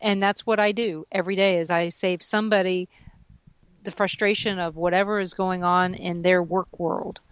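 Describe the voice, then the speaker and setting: A woman's voice: on the low side, steady tone, normal speed, clear, resonant tone, dry recording. Chatty woman in her fifties on a dull line. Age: 50s. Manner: talkative, self-deprecating, laughs at herself. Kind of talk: casual chat.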